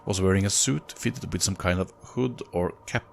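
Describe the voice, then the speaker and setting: A man with a Norwegian accent reading sighting reports aloud in an unhurried way, breaking off for casual, halting comments. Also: deep voice, vocal fry, slight background noise. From Norway. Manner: unhurried, halting in asides, chuckles at own slips. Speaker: narrator of UFO reports.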